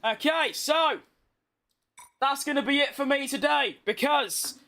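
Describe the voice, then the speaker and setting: Tired, chatty British man, very high voice. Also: unhurried, sharp tone. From Britain. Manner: chatty, rambling, self-deprecating. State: tired from being awake all day. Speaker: gaming streamer. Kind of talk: casual live-stream gameplay chat.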